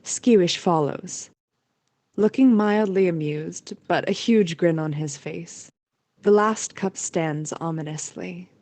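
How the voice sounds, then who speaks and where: A woman's voice: lowish voice, takes it slowly, darkish tone, dry recording. A woman narrating a scripted audio drama as its storyteller.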